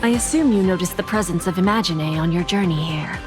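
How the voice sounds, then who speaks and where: A woman playing a poised, authoritative corporate insider, giving articulate, measured exposition in a video game. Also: fairly low pitch, natural voice. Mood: matter-of-fact. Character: composed, authoritative female corporate insider briefing allies. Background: game music.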